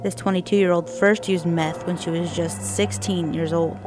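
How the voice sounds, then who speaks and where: Lowish woman narrating news report. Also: fairly even intonation. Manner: measured, informative delivery. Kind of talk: scripted narration. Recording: voice-over with music bed.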